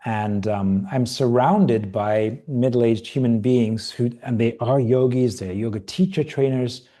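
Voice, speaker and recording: A middle-aged man from Britain who grew up partly in Asia, talking casually as an interview guest in a reflective, halting way. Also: mid-range voice, warm tone. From Britain, raised partly in Asia. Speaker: podcast guest, yoga and qigong teacher trainer.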